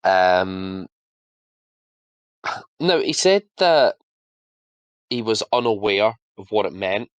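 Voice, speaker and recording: A man co-hosting a casual podcast, chatting and laughing, and putting on quick voices for officials. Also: on the low side, sing-song, clean tone, no echo, dull audio. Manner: laughs often, acts out officials in quick asides. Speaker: podcast co-host. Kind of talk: casual chat.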